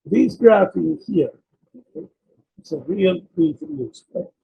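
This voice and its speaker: A man giving an explanatory academic slide presentation, speaking haltingly and often repeating and rephrasing himself. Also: high-pitched, lively intonation, vocal fry, breathy edge, dull audio. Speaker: presenter of a technical talk.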